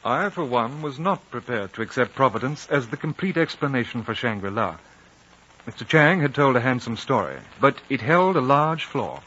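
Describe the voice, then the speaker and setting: A composed, reasonable British gentleman, with a middle-pitched voice. He speaks with measured courtesy and a quietly probing air, on a dull old radio drama recording. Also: big pitch swings, slight background noise. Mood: reflective. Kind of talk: 1950s radio drama acting and narration.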